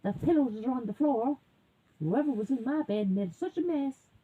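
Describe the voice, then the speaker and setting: A woman doing a fussy, high-voiced mommy bear. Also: animated, normal speed, slightly rough, phone-quality sound. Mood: annoyed. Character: fussy, indignant mommy bear, female, house-proud.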